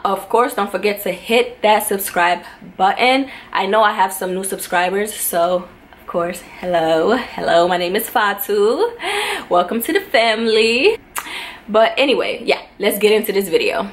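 A woman's voice: mid-range voice, moderate pace, slight background noise. Gushing, chatty young woman vlogger. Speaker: beauty vlogger. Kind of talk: casual makeup vlog chat. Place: indoor home room.

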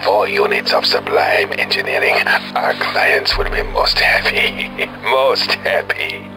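A man's voice: deep voice, sing-song, slow, loud music behind the voice, exaggerated voice. An actor playing a volatile, foul-mouthed villain boss in a video game, a fat man, pompous and scornful. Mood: smug. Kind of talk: video game cutscene acting.

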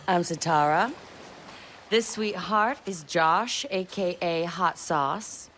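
A confident woman leading a hacker crew in a game cutscene. Her voice is a little low and cool in temper. There is faint background noise. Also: takes it slowly, a bit bright. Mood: cool, welcoming. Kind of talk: video game cutscene acting.

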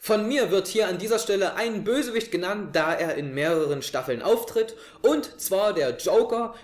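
A young man presenting a prepared review to camera in a living room. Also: high-pitched. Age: young adult. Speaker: host of an audio drama review show. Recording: echoey small room.

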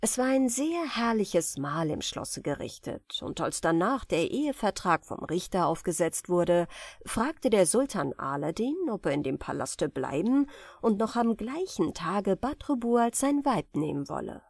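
A woman narrating a fairy tale as a scripted audiobook, in an expressive storytelling manner. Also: medium pitch, a little slow, no echo, studio quality.

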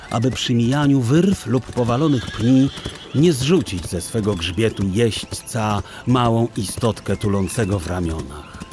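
A man narrating a children's audiobook in an expressive, theatrical storytelling style with deliberate phrasing. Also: mid-range voice.

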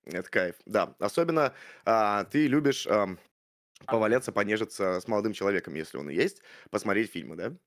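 A man's voice: lowish voice, expressive, a little fast. A man in his thirties on a video call, chatting in a mock-romantic, gallant way, full of fillers and hesitations. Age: 30s. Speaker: show contestant flirting with a guest. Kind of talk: playful mock-romantic chat on a stream. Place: streaming room with purple lights. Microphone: studio mic on arm, headphones.

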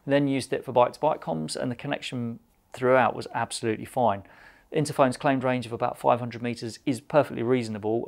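A middle-aged British man delivers a scripted product review to camera. His mid-pitched voice has a noticeable gravelly fry. He keeps the delivery conversational and matter-of-fact. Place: indoor studio with shop display shelves.

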